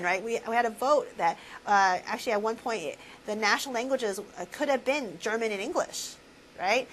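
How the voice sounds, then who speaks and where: A woman's voice: a little hiss or hum, rough recording. A woman professor giving a recorded lecture, asking students questions and tagging lines with "right?". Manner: animated, full of questions to students and "right?" tags; many fillers and restarts, swinging pitch. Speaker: university professor.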